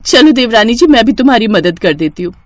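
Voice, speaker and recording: A woman's voice: on the high side, very animated, a little fast, no echo. Woman voicing a knowing, practical elder sister-in-law. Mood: agreeable.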